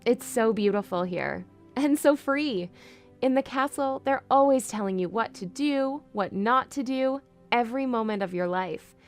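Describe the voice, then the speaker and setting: Woman acting a spirited young princess, higher-pitched, music behind. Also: animated, moderate pace. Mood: wistful, earnest. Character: spirited young princess posing as a lady's maid. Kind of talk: fan audio drama acting.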